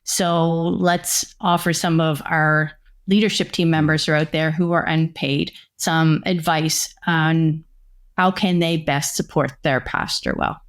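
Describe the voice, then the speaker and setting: Low-voiced woman hosting. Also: fairly even intonation, measured pace. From Canada. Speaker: podcast host. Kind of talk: hosting a discussion.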